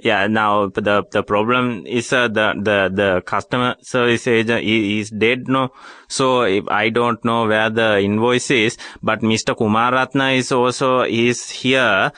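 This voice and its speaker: A man playing a bumbling, apologetic car company agent on a prank phone call, halting and repeating himself deferentially. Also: on the low side, fairly even intonation, sharp tone, no echo. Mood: apologetic, hesitant. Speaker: radio prank caller and show host.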